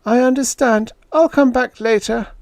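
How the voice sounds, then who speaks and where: A nosy, pushy older woman neighbor, put on by a male reader. She coaxes and pries in a high, raised voice. The reading is dry and close. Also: steady tone, normal speed, gravelly, a little mellow, no echo. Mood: prying. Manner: coaxing and prying.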